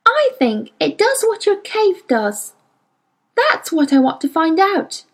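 Woman reader plays an earnest young girl, very high and pleading, with a wide-swinging voice. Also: sing-song, slow, exaggerated voice. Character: eager, desperate young girl.

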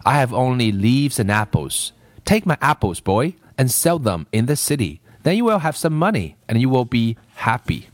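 Man voicing a gentle, self-giving old tree, lilting delivery. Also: medium pitch, very animated, moderate pace, no echo. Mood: eager to help. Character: loving, self-giving female apple tree, growing old and weary. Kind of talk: storybook character voice.

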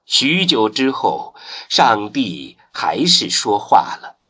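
A man narrating a radio drama as a solemn, expressive storyteller. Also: lowish voice, slow, husky, full character voice. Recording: voice over prominent music.

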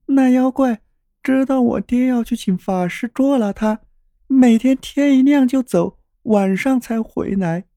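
A woman playing a plaintive young village daughter in a dramatized storybook, speaking softly. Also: a little high, a little slow, dry recording, clean studio sound. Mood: sorrowful. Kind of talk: dramatized storybook character acting.

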